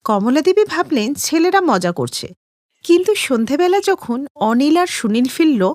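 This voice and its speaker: A woman reads scripted cartoon narration. Her voice is high with lively, wide intonation, and the recording is dry. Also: normal speed, no room sound.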